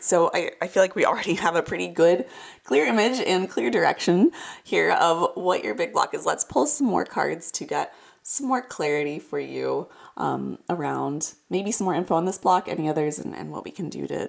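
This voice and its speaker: A woman's voice: low-pitched, creaky. A woman hosting a card reading, speaking casually and directly to the viewer in a reassuring, encouraging way, slightly congested from a cold. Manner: reassuring, encouraging, emphatic repetition. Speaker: host of tarot card readings.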